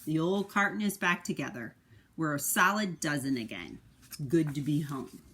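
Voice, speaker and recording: A woman voicing an earnest, worried male egg who tells his own storybook tale, with expressive, dramatic delivery. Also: sits low, moderate pace. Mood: content.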